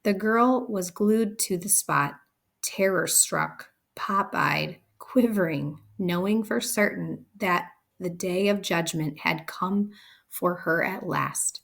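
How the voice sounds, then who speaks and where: A woman reading a children's story aloud as expressive scripted narration. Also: lowish voice, slow, gravelly. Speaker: storybook reader.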